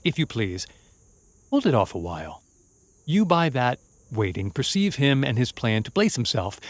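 An actor playing a scheming, manipulative male ensign in a radio play, talking in a smooth, insinuating way while feigning humility. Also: fairly low pitch, dry recording.

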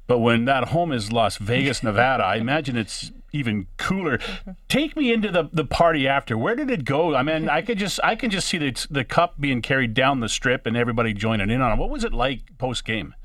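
A man's voice: medium pitch, animated, fairly quick, fairly bright tone, dry recording. A man co-hosting a casual, joking interview, putting chatty questions to a guest. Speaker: radio show host.

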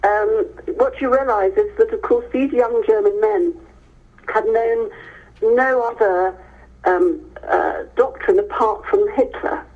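A woman's voice: on the high side, slow pace, narrow, tinny audio. An English woman, middle-aged or older, answering as a guest author in conversation, telling stories with emphatic repetition. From Hereford, England, near the Welsh border.

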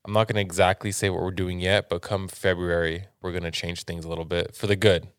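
A young man co-hosting a podcast with his wife, relaxed and teasing in casual chat. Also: low voice, fast, clean tone, dry recording, clean studio sound. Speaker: podcast co-host.

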